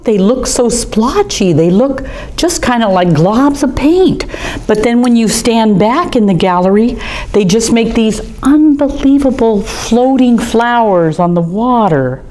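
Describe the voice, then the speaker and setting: A woman's voice: medium pitch, mellow. A woman in an art museum gallery, reading a picture book aloud to young children in a chatty, friendly way and talking straight to them. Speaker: museum storytime reader.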